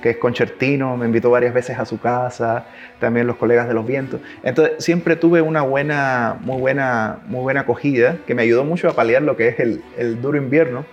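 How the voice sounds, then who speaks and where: A man from Cuba with a Cuban accent touched by Chilean, telling stories in a relaxed interview, with restarts and laughs. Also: mid-range voice, brisk, muffled recording, faint music behind. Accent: Cuban Spanish with Chilean traces. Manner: warm anecdotal telling, restarts, laughs at his own stories. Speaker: interviewee, double bass player. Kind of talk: relaxed conversational storytelling.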